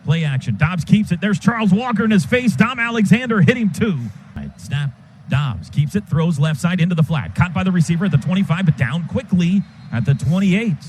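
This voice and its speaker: A man doing live play-by-play radio commentary of a football game from a stadium booth, in rapid clipped calls that surge on big plays. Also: sits high, animated, a little mellow. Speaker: play-by-play announcer. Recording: noisy live stadium broadcast.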